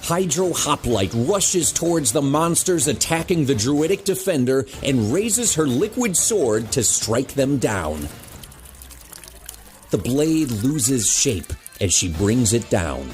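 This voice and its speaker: A man's voice: mid-range voice, sing-song, takes it slowly, a little mellow, faint music behind. A man narrating a scripted action audio drama with dramatic storytelling delivery.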